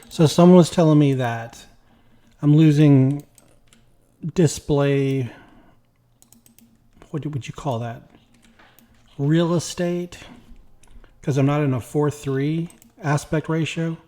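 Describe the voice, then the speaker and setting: A man with a slightly raspy, weak voice, tired after work, chatting casually while playing a game. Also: on the high side. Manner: rambling, relaxed, talks while playing. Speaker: gaming YouTuber. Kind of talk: casual gameplay commentary.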